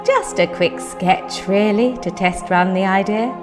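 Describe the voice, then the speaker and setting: A woman's voice: mid-range voice, a little slow, music in the background, close to an everyday voice. An actress playing an earnest, modest, eccentric medieval woman who muses on her ideas in a comic audio drama. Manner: earnest, musing.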